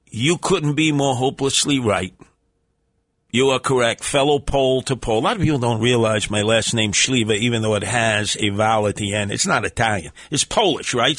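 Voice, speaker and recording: Male New radio host, brash and theatrical, bright voice. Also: no echo. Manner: brash, mocking, theatrical swings in pitch. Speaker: radio talk show host. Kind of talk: talk radio banter with callers. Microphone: studio microphone.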